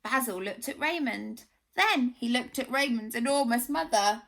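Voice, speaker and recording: A woman reading a storybook expressively to young children, animated, with questioning asides. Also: on the high side, moderate pace, gravelly.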